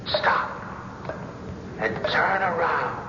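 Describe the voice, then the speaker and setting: A ghostly younger self: calm, stern and measured, his high voice drawn out slowly. The words ring through a long, echoing hall. The recording is muffled old radio with steady hiss. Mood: solemn. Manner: slow, measured, solemn. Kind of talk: 1970s radio drama acting.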